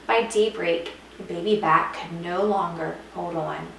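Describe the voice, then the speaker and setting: A woman's voice: on the low side, fairly even intonation, measured pace, gravelly. Woman reading a storybook in an echoey room. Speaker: teacher reading aloud. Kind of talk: expressive scripted storybook narration. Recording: echoey room, distant mic, faint noise.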